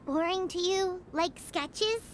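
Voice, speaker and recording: A woman voicing a tiny, excitable, childlike fairy companion in a video game, chirpy and inquisitive. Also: very high-pitched, slow pace, slight background noise. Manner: chirpy, inquisitive, refers to herself by name. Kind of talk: scripted video game dialogue. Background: game music.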